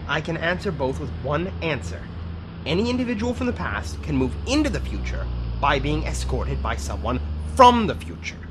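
A man playing an excitable, secretive time-travelling professor in an audio drama. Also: fairly high pitch, moderate pace, background noise. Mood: worried explaining.

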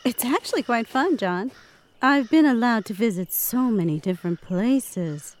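A woman plays a friendly writer lost in time in an audio drama. Her voice is slightly high, and she speaks in an easygoing, conversational way. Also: lively intonation, moderate pace, slight background noise, lightly acted. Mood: friendly, encouraging.

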